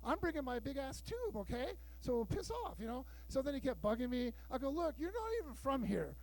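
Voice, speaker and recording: Brief: fifties male stand-up, very high pitch, brash and crude storyteller, plays all the dialogue himself. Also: sing-song, brisk, rough recording. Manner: loud, bawdy storytelling with acted-out dialogue. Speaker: stand-up comedian. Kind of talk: stand-up comedy set. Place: live show room with audience.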